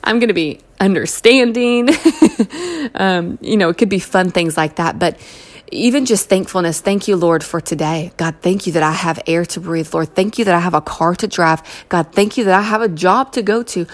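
A woman's voice: fairly low pitch, moderate pace. Encouraging American woman speaker. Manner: conversational, encouraging, emphatic repetition. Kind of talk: inspirational talk to an audience.

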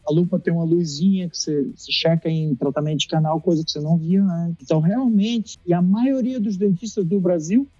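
Man from Recife, husky, dental guest spinning stories, lo-fi dry sound. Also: high voice, brisk, few pauses, dry recording, soft background music. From Brazil, Recife. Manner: animated, acts out dialogue, laughs often. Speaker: dentist, interview guest. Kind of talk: casual storytelling in an interview.

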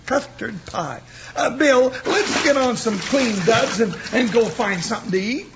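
An actor playing a blustery, comical cowboy sidekick in an old radio western, with a squawky folksy Western American drawl. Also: male voice, very high-pitched, sing-song, slow pace, creaky, heavily acted. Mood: carefree, scoffing. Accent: American West. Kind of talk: 1950s radio western acting. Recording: muffled old radio recording, faint noise.

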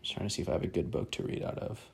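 A man's voice: very fast, slight background noise. A man chatting casually and hesitantly in a self-deprecating way, sometimes reading aloud. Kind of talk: casual rambling chat, with some reading aloud from a book.

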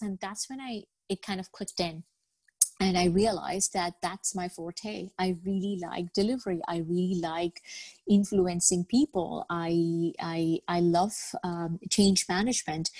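Middle-aged woman from Bangladesh, raised in the UAE, lowish voice, dry close sound, thoughtful guest who restarts often. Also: a little mellow, no echo. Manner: thoughtful, with many fillers and repeated words. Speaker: guest, business professional. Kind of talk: podcast interview.

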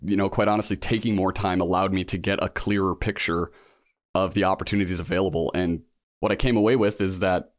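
Deep-voiced man in his thirties, rambling. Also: steady tone, fairly quick, no room sound, studio quality. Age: 30s. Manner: rambling, reflective, many fillers and restarts. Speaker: guest, law school applicant. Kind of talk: casual interview conversation.

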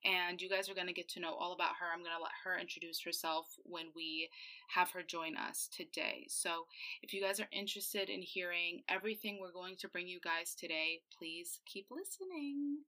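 Young woman, podcast host, bubbly and eager; lowish pitch, bright forceful tone. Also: normal speed. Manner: enthusiastic, bubbly. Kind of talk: upbeat podcast hosting.

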